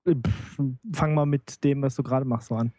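A man's voice: mid-range voice, steady tone, normal speed, dark tone, no echo, studio quality. A man hosting a casual radio interview, asking teasing, probing questions. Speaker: radio host.

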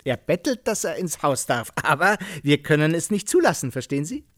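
A man's voice: fairly high pitch, sing-song, a little fast, fairly bright tone, dry recording. An affable, garrulous middle-aged academic in a radio drama. His melody swings widely as he fusses politely over guests and spins out his tales. The recording is dry and close. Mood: effusively hospitable. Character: affable, talkative middle-aged male academic playing host. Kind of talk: German radio play dialogue.

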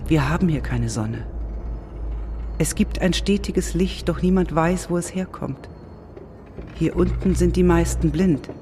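A man playing a weary, coaxing dweller of the underworld in a German radio play, measured and knowing. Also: on the high side, warm tone, slight background noise. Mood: wistful, explaining.

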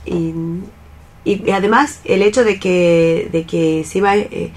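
A woman's voice: fairly low pitch, unhurried. A woman with an Argentine accent in a casual radio group chat. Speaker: radio theatre group member.

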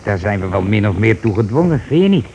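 An actor playing a scheming, irritable husband in a radio play, speaking insistently and colloquially. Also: male voice, lowish voice, very animated, quick pace, vocal fry, darkish tone, muffled recording. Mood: conspiratorial. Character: scheming, irritable husband covering his tracks. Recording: hissy old radio recording.

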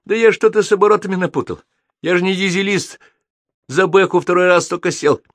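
A man voicing a hapless, panicky young soldier mechanic with a whiny, shrill delivery in an audiobook. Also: a little fast, no room sound, close to an everyday voice. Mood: flustered, defensive.

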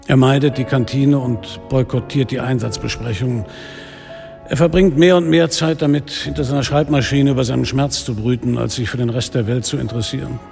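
An actor playing a weary, sardonic middle-aged police inspector, telling his story in a radio drama in a dry, measured, gravelly voice. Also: mid-range voice, a little mellow, natural voice. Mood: sombre. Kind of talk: first-person radio drama narration and dialogue.

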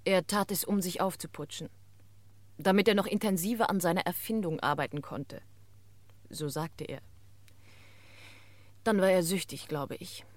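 A composed wife under police questioning in an old radio crime play, acted by a woman. Her voice is a little low and very dry, with measured, subdued, precise delivery. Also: darkish tone, dry recording, close to an everyday voice. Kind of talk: mid-century German radio crime drama acting.

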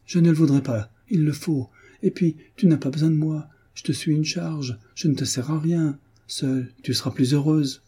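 A man's voice: on the high side, steady tone, moderate pace, hardly any pauses, mellow, breathy edge, pitched above the performer's own voice. A man voicing a pious, mournful old peasant grandmother in an audiobook, hesitant and plaintive. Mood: plaintive, resigned. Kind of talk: audiobook character voice.

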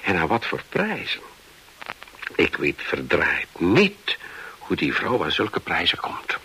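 An actor in a radio play voicing a folksy, evasive fishing warden, husky, hesitant and rambling, eager to please. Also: male voice, low voice, huge pitch swings, takes it slowly, sharp tone, a little hiss or hum, exaggerated voice, rough recording. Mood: musing, amused. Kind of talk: radio drama, dialogue and chatty monologue.

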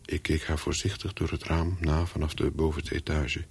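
An actor playing a brooding former secret agent in a radio play, husky and measured, haunted by his memories. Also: rumbling low, flat delivery, heavily acted. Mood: brooding, subdued. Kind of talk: radio play acting with first-person narration.

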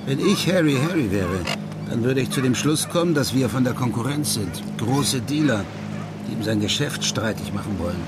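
A man's voice: medium pitch, animated, normal speed, over loud music, close to an everyday voice. An actor playing a wry, laid-back Dutch ex-police detective telling his tales in a radio crime drama. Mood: wry, reflective.

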